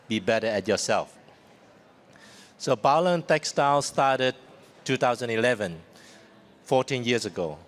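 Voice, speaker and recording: A man giving a live business presentation in informal, simple English. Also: lowish voice. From Vietnam and New Zealand. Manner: informal, simple non-native English phrasing. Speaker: company founder, presenter. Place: seminar stage at a trade fair. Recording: noticeable ambient background noise.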